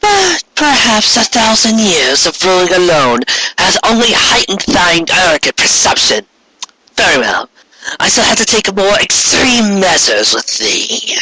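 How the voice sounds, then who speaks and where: A man's voice: sits high, sing-song, unhurried, bright, forceful tone, breathy edge, dry recording, voice pushed up, heavily acted, poor audio. A man voicing an imperious, self-righteous elder female alicorn queen in a fantasy story, speaking in grandiose, haughty archaic phrases. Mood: commanding.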